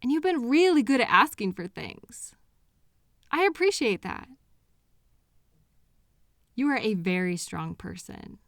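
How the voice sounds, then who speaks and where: A woman's voice: fairly high pitch, big pitch swings, no echo, clean studio sound. A woman playing a loving, patient girlfriend in an intimate comfort roleplay, speaking to her anxious partner in a tender, reassuring manner. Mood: earnest, reassuring. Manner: tender, reassuring, unhurried pauses with sighs. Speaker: audio roleplay performer.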